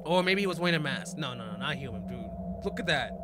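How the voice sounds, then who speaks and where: A man's voice: fairly high pitch, expressive, fast, background noise. A man in his twenties reacting casually to a video, reading text aloud and commenting in informal, slangy speech.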